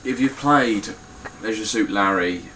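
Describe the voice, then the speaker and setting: Low, bright nasal-sounding man. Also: a little slow, slight background noise, low-quality recording. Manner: blunt and swearing, with frequent ums. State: stuffy, nasal voice. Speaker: game collector vlogger. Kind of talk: casual spoken game collection review.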